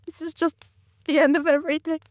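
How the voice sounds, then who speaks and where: A woman's voice: high-pitched, flat delivery, fast, dry recording, lightly acted. An actress playing a distressed, pleading woman of about thirty in a 1950s radio melodrama, sounding plaintive. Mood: dejected, grateful. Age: 30s.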